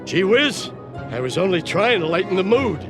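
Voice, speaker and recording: Goofy, lively cartoon pilot duck. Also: male voice, high-pitched, normal speed. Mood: earnest. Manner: folksy, goofy, upbeat. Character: cheerful, dim, easygoing male cartoon pilot duck. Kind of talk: animated game voice acting. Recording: background music under the voice.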